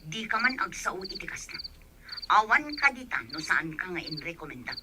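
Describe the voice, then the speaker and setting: A woman's voice: on the low side, sing-song, normal speed, background noise. A woman playing a stern, bossy, scheming village woman in an Ilocano radio drama, with an Ilocano accent. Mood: scolding, bossy.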